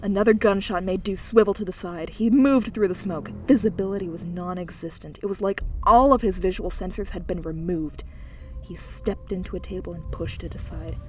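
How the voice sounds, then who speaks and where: A woman's voice: lowish voice, normal speed, faint music behind. A woman reading an audiobook, narrating scripted fiction in a dramatic style.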